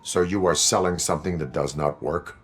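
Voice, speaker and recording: A man acting out deep-voiced stoic cyborg cop. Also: natural voice. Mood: probing, flat. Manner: clipped, flat, deliberate. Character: stoic part-man, part-machine police officer. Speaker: lead character in a video game.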